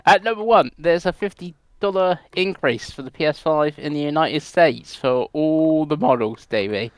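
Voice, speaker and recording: A man's voice: a little high, moderate pace, clear, resonant tone, no echo. A man co-hosting a casual gaming podcast, reading out gaming news in a chatty, rambling way. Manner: chatty, rambling delivery with laughs.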